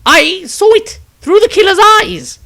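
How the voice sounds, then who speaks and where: High-pitched, strained wizard. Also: male voice, expressive, unhurried, vocal fry, pushed and bright, heavily acted. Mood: strained, defensive. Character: shaken, defensive male wizard pleading his innocence. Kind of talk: fantasy audiobook character acting.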